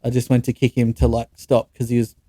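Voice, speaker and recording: A man's voice: mid-range voice, monotone, fast, warm tone, dry recording. A young Australian man co-hosting a podcast, telling stories in a casual, sweary and blunt way. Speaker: podcast co-host. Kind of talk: casual chat, anecdotes with mates.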